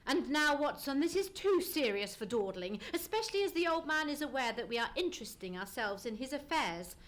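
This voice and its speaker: A woman playing a shrewd, assured gentleman detective, formal and precise, in a live radio play. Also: sits high, big pitch swings, a little fast. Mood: brisk, serious. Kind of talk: live old-time radio play acting.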